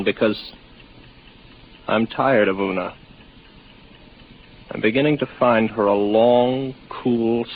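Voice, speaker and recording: An actor playing a weary, lonely young spaceman, wry and rambling, in a 1950s radio drama. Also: on the low side. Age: 20s. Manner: wry, musing, sardonic. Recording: noisy muffled 1950s radio recording.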